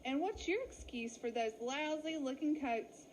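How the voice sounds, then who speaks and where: A woman's voice: high-pitched, measured pace, a little mellow, a little hiss or hum, poor audio. A woman voicing a tough, no-nonsense old ewe in a children's storybook. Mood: suspicious, firm. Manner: firm, no-nonsense. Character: tough old suspicious female sheep, leader of flock. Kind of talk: children's storybook read-aloud.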